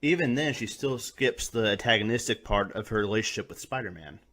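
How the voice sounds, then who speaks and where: A man's voice: fairly low pitch, expressive, a little fast, a bit bright. A man hosting a scripted video review, talking in a colloquial, mildly profane way. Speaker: reviewer, host.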